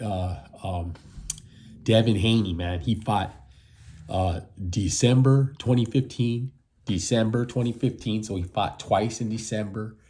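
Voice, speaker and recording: Rambling fifties man, low mellow voice. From United States. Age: 50s. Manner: rambling, repeats himself, tags lines with "man". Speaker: boxing channel host. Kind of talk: casual solo commentary.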